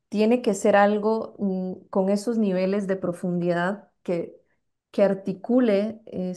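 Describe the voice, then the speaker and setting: Conferencista costarricense de voz cálida. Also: female voice, fairly low pitch, dark tone. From Costa Rica. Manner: thoughtful, searching for words with many fillers. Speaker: guest lecturer. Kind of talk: answering an audience question.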